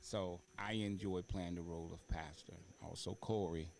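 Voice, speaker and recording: A man's voice: low-pitched, fairly even intonation, normal speed, darkish tone, a little hiss or hum. A man hosting a talk show, chatting in an unscripted way. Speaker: talk show host and stage actor.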